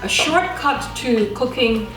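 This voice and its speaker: A woman's voice: on the high side, animated, slow pace. A woman of about fifty hosting a casual cooking demonstration, chatty and emphatic, tossing questions at the listener. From Jamaica. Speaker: cooking show host. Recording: roomy echo, loud music behind. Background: music, clattering pots, stirring.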